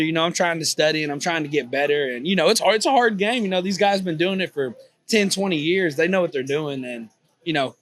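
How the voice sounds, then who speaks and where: A man's voice: on the high side, fairly bright tone, no echo. Easygoing young Texan man. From Texas, USA. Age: 20s. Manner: easygoing, leans on "you know" fillers. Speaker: guest, poker vlogger. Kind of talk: casual interview chat. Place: on a cruise ship.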